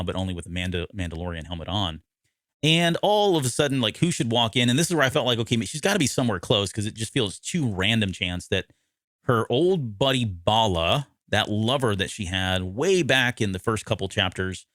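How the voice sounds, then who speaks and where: Man, American, from the Midwest, low voice, casual recap chat, very dry recording. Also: a bit bright, dry recording. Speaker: podcast co-host.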